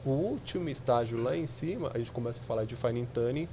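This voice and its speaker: A man's voice: medium pitch, animated, brisk, dark tone, slight background noise. A man, a podcast guest explaining technical ideas step by step with examples.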